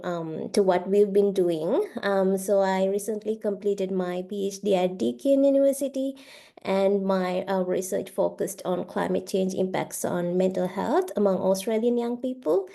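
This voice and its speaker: A woman from Sri Lanka, a research fellow giving a steady introduction to an online session, with frequent filler pauses. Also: medium pitch, creaky.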